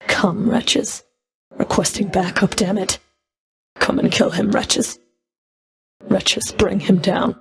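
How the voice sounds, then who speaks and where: Game villain: a sadistic female Fury, cold and contemptuous, unhurried delivery with a creaky, breathy edge. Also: on the low side, heavily acted. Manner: deliberate, menacing, contemptuous taunting. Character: menacing female Fury of the underworld, cold, scornful, sadistic whip-wielder. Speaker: video game villain voice actor. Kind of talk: video game combat voice lines.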